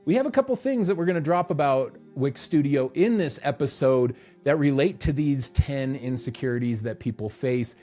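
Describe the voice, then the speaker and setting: A man's voice: mid-range voice, animated, normal speed, hardly any pauses, mellow, faint music behind. A man co-hosting a podcast in a studio, chatting casually and joking, often laughing. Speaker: podcast co-host. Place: recording studio.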